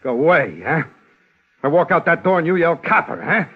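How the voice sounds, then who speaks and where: An actor playing a menacing fugitive killer in an old-time radio crime drama, curt and barking orders. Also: male voice, on the high side, expressive, moderate pace, slightly rough. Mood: threatening. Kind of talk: 1940s-50s radio crime acting. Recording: muffled, noisy old-time radio recording.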